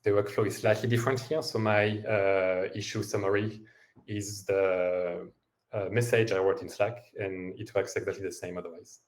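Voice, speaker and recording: A man giving a software demo over a video call, with a hesitant manner and frequent fillers. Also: fairly low pitch, fairly even intonation, normal speed. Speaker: guest speaker.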